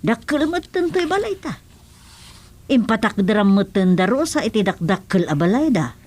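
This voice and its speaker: A woman's voice: mid-range voice, very animated, normal speed, darkish tone. An actress playing a chatty wife who admires and advises her husband in an Ilocano radio drama-comedy, with an Ilocano accent. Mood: concerned, chatty.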